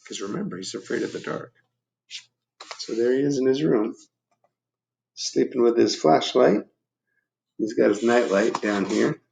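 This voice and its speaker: A man's voice: mid-range voice, unhurried, gravelly, pauses often, darkish tone, a little hiss or hum, poor audio. A man reading a picture book aloud to children, explaining things and putting questions to the listeners in chatty asides. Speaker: storybook reader.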